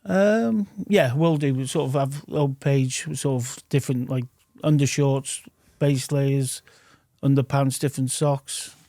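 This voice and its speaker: A man's voice: medium pitch, unhurried, dark tone, no room sound, clean studio sound. A man, a football club kit man, chatting casually on a podcast in a kit room, with short, hesitant, chuckling answers.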